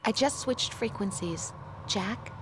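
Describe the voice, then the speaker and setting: A woman's voice: medium pitch, slow pace. An actress playing a determined, caring young American woman who works as a military mission analyst in a video game, earnest and assertive. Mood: softer, attentive. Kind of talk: scripted game voice acting. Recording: clear background noise and ambience.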